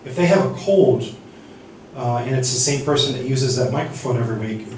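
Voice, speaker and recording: A man teaching a seminar on church sound desks, speaking haltingly with many restarts and fillers. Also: mid-range voice, animated, a little mellow, a little hiss or hum. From United States. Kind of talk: teaching sound-desk basics. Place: church hall. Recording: echoey hall from far off.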